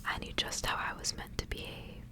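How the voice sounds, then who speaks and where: A woman reading a literary tale aloud for ASMR in a hushed, unvoiced whisper. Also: brisk. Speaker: ASMR reader.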